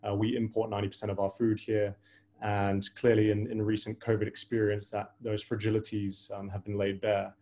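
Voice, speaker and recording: Low-pitched, mellow man, fairly even. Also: brisk. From Hawaii, United States. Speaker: panelist, venture investor. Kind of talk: panel self-introduction.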